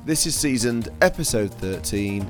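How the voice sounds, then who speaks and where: A man with a croaky, worn voice, a chef hosting his own podcast in upbeat scripted narration. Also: mid-range voice, lively intonation, normal speed, faint music behind.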